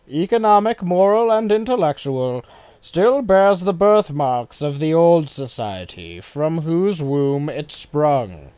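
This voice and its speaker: A man's voice: on the high side, a little mellow, smooth, no echo, voice pushed up. A man voicing a quoted political thinker in an audiobook, emphatic and declamatory. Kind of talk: audiobook quotation reading.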